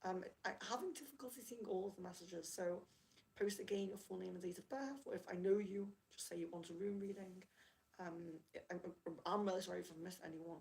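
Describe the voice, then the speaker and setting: A woman chatting casually on a live reading stream, apologetic and friendly as she reads out viewer comments, slightly hoarse from losing her voice. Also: mid-range voice, animated, normal speed, darkish tone, rough recording. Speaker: livestream rune reader. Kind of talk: casual livestream chat.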